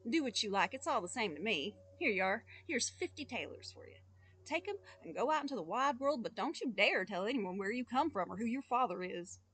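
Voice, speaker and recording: A woman voicing an exasperated, scolding father in a storybook, loud and clipped when he berates his son. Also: on the high side, sing-song, fairly quick, slightly rough, full character voice. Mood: scornful. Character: exasperated gruff father, middle-aged man.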